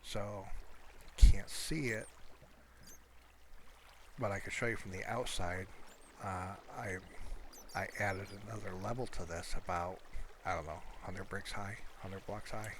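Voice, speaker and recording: A man with a very deep voice talks through a video game in a casual, low-key way. He speaks slowly and hesitantly, rambling as he goes. The recording has clearly audible noise behind him. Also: fairly even intonation. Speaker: gamer showing a Minecraft world. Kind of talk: casual gameplay commentary.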